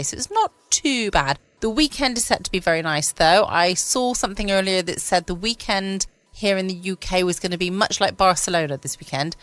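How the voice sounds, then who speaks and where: A woman's voice: mid-range voice, fairly bright tone, no room sound. A woman, chatting casually and musing as host of a bedtime podcast. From United Kingdom. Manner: rambling, musing to herself. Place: quiet room at home.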